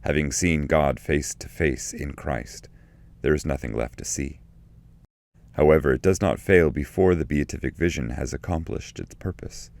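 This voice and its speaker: A man reading scripted literary commentary and poetry in a measured, solemn, deliberate way. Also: extremely low pitch, creaky, a little mellow, no echo. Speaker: narrator and translator reading his edition.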